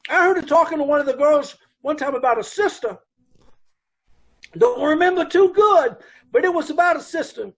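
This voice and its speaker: An actor playing a brusque, chatty dance hall boss with folksy, blunt speech in an old-time radio police drama. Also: male voice, very high voice, normal speed, a bit bright.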